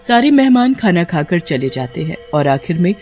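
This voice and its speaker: A man's voice: sits high, big pitch swings, moderate pace, dull audio. A man narrating a scripted story as a storyteller. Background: music.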